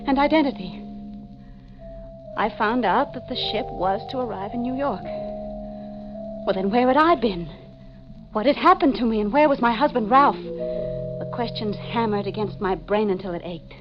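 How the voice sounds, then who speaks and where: A woman's voice: mid-range voice, natural voice. An actress playing a distraught, insistent wife who has lost her identity, in melodramatic 1940s radio mystery style. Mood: haunted. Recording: muffled old 1940s radio recording, organ music.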